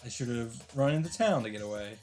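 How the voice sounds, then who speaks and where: A man's voice: medium pitch, expressive, fast, darkish tone. Man reading aloud over music. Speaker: video game let's player. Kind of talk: reading visual novel narration aloud with casual asides. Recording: music behind the voice.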